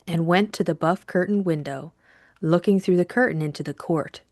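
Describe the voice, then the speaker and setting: A woman reading literary fiction as an audiobook narrator, measured and deliberate in her storytelling. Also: lowish voice, darkish tone, dry recording, studio quality.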